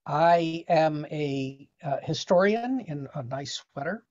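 Middle aged guy on a slightly muffled call, really slow and hesitant. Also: a little high, expressive, darkish tone. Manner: halting, hesitant. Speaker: historian, reader. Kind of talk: informal self-introduction. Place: indoor room on video call. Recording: slightly dull video call audio.

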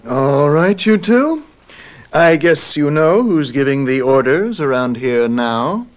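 A domineering elder brother, master of the house, in a radio drama. He talks slowly and mockingly, with a lively range, over faint music. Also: fairly high pitch, dull audio.

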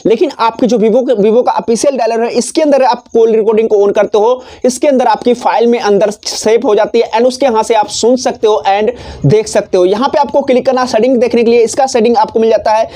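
A young man talks through a phone tutorial step by step. His voice is soft-edged and mellow. He speaks off the cuff, repeating his instructional phrases as he goes, close on a lapel mic. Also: extremely high pitch, steady tone, brisk. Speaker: tech tutorial presenter. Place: small decorated indoor room. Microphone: clip-on lapel mic.

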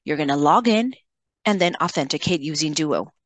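A woman software trainer giving an instructional screen-share tutorial, explaining in a measured way and addressing learners directly. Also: deep voice, lively intonation, dry recording.

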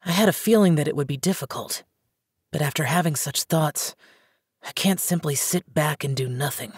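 A woman playing an aloof young male immortal demon hunter in a video game, speaking in a terse, solemn, formal way with a husky voice. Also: deep voice, normal speed, no echo, clean studio sound. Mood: earnest, restrained. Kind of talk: English video game character dialogue.

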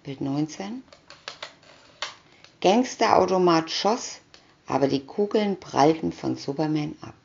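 Woman reading a kids comic story, really slow, gravelly voice, kinda dull lo-fi sound. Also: deep voice, expressive, darkish tone. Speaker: reader of picture-story booklets. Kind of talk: scripted narration of a children's comic story. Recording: dull lo-fi recording.

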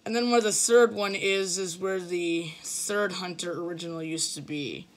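A man's voice: high voice, fairly even intonation. A young man giving a casual, hesitant walkthrough of his game build while filming the screen with a phone. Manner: slow, hesitant, with fillers. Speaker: gamer showing a game build. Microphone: phone filming a computer screen.

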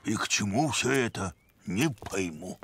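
A man's voice: fairly low pitch, expressive, measured pace, over music. A man voicing a grumpy, sceptical, burly bear in a cartoon, grumbling. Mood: grumpy, doubtful. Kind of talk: cartoon voice acting.

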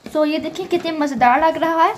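A woman's voice: high voice, a little fast, noisy background. A woman hosting a cooking channel, explaining each step of a home recipe in a friendly, casual voice-over. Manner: friendly step-by-step explaining, mixing in English words. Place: home kitchen. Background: frying and stirring sounds.

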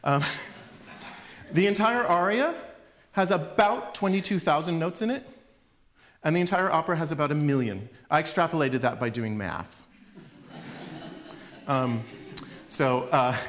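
A man's voice: a little high, normal speed, pauses often. Middle-aged man lecturing on a stage. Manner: relaxed, chatty, with fillers and small laughs. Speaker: opera professor giving a lecture. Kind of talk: public lecture with demonstrations. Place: concert hall stage.

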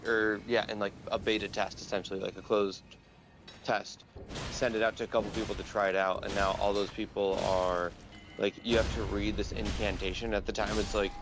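A young man with a gravelly, low voice rambles through a story and fills it with "like" and "um". There is faint noise behind him on the voice chat. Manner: rambling, many fillers. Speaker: friend on voice chat. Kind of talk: casual chat.

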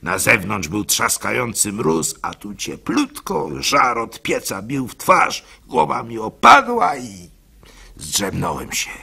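Husky, expressive male storyteller, wide-ranging pitch. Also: slow pace. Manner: theatrical, expressive, varied in intensity. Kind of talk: dramatic first-person literary narration.

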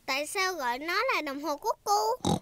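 A woman's voice: very high voice, expressive, moderate pace, loud music behind the voice. A woman voicing an eager, childlike little girl piglet, cheerful and bossy, in a children's cartoon dub. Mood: curious.

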